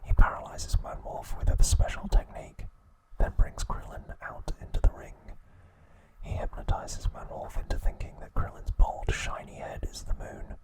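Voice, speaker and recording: A man narrating ASMR in a hushed, breathy whisper, in short measured phrases. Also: low-quality recording. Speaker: ASMR host. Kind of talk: whispered ASMR narration, recounting episode summaries.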